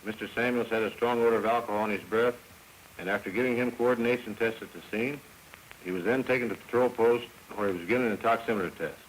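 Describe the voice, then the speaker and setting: A man's voice: lowish voice, fast talker, rough recording. A man reporting as a police officer in formal, matter-of-fact courtroom testimony. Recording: vintage film sound, faint hiss.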